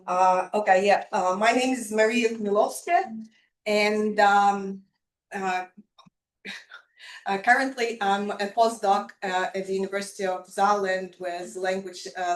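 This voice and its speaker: A woman gives an academic conference talk in a hesitant way, filling her pauses with "uh" and "um". Her voice sits at a middling pitch and carries the echo of a roomy hall. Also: fairly even intonation. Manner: hesitant, full of fillers. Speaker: conference presenter. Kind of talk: academic presentation.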